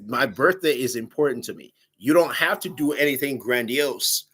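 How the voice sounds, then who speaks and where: A man's voice: mid-range voice, moderate pace, slightly rough. Man telling a breakup story, big voice. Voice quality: big loud voice. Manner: animated, laughing, quotes himself in raised voice. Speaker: dating show guest. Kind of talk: casual storytelling chat.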